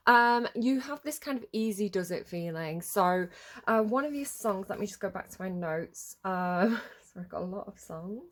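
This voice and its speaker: A woman's voice: medium pitch, animated, normal speed. Sniffly woman chatting through a tarot reading. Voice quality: slightly congested, sniffly from a cold. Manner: hesitant, conversational, loosely wandering. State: has a cold. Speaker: tarot reader and video host. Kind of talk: casual rambling tarot reading chat.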